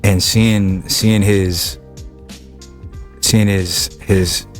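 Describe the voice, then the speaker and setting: A young man from Wilmington, California, a rapper chatting as a podcast guest, talking in halting bursts full of repeated words and restarts. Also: sits low, steady tone, soft background music. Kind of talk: casual interview chat.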